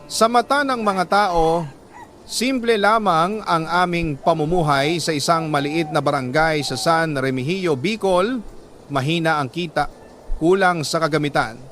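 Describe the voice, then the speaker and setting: A man with a Filipino accent reads a scripted first-person story as a radio host, in a solemn, measured and emotive way with dramatic pauses. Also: a little high, fairly bright tone. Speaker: radio story host reading a listener's letter.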